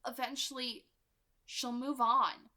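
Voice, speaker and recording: A woman voicing a gentle, intense, protective seventeen-year-old immortal young man, speaking in an earnest, controlled way for a story. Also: on the high side, fairly even intonation, takes it slowly, voice pushed up. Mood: earnest, resigned.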